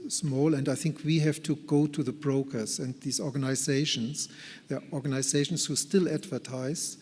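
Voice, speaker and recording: A man answering questions as a speaker at a conference, in an earnest, deliberate and carefully hedged way. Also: a little high, darkish tone. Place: large conference hall. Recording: reverberant conference hall.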